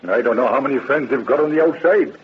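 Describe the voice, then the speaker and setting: A man's voice: mid-range voice, quick pace, lightly acted. An actor playing a cautious, crotchety old handyman with folksy, plain-spoken talk in a 1930s radio drama. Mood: afraid. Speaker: witness in court. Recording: muffled old radio recording, faint noise.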